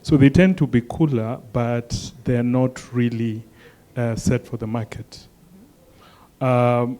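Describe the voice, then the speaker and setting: Slow, mellow-voiced male panelist. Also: mid-range voice. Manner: searching for words, restarts. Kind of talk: panel discussion.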